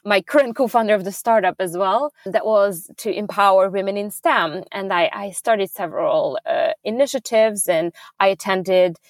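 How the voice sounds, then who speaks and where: A woman's voice: mid-range voice, moderate pace, few pauses, dry recording, studio quality. A young woman from Hungary, a startup founder, answering interview questions in an earnest, fluent way with small restarts.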